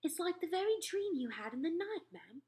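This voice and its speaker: A woman's voice: very high voice, very animated, normal speed, a little mellow, pitched above the performer's own voice. A woman reading aloud and voicing a timid, deferential maid who quavers and keeps saying ma'am. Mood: earnest.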